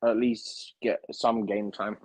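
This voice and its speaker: A man co-hosting a casual football stream, relaxed and explaining things plainly. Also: lowish voice, slow pace, breathy edge, dry recording. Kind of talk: casual live-stream chat.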